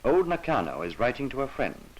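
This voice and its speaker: A man narrating a scripted 1950s educational film in a solemn, measured, poetic manner. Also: medium pitch, sing-song, slightly rough. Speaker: documentary narrator. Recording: noisy 1950s archival film soundtrack.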